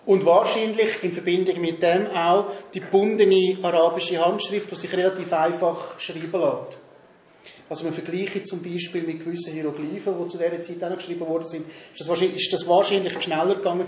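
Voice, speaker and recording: A man's voice: high voice, fairly even intonation, brisk, darkish tone. A man in his thirties with a Swiss German accent, presenting a prepared talk at a discussion evening, often stumbling over names with ums and restarts. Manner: reads from notes with frequent um and restarts on names. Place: meeting room in a large hall, seated at a table. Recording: roomy hall with faint noise.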